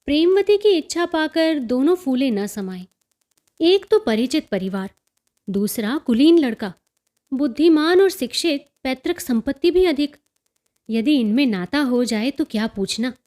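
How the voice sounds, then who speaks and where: A woman reading a literary story as an audiobook narrator, in an expressive, enunciated way. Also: high voice, measured pace.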